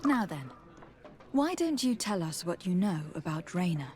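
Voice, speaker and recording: A woman's voice: lowish voice, sing-song, moderate pace, noisy background. An actress playing a commanding, courteous noblewoman diplomat in a video game, speaking formally. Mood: polite, authoritative. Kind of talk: video game character acting.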